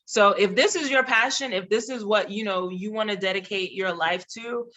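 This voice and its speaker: Bright-voiced man, Haitian Creole native. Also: high-pitched, normal speed. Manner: earnest, chatty, many restarts and fillers. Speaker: diplomat panelist. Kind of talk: casual advice on a webinar panel.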